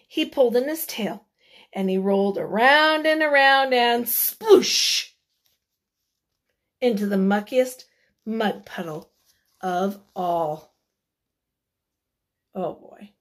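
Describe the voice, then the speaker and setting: A woman reading a picture book aloud to children, expressively and deliberately, with dramatic emphasis. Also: a little high, expressive. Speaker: children's read-aloud storyteller.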